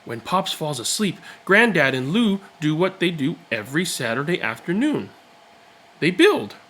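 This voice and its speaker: A man reading a children's picture book aloud, with expressive, deliberate and dramatic phrasing. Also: fairly high pitch, slight background noise. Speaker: read-aloud storyteller.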